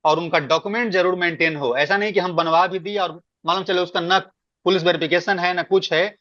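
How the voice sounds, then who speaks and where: A man's voice: high voice, steady tone, a little fast, fairly bright tone, no room sound. A man explaining procedures in a work meeting, stressing points by repeating words. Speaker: meeting speaker giving guidance. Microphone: online conference call. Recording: dull, lo-fi conference call.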